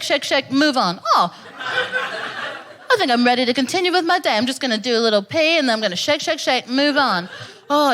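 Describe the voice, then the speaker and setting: A woman's voice: a little high, fairly bright tone, slight background noise. A woman hosting a stand-up comedy night, frank and crude in a chatty way as she hypes up the crowd. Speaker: host. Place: comedy club stage before a live audience.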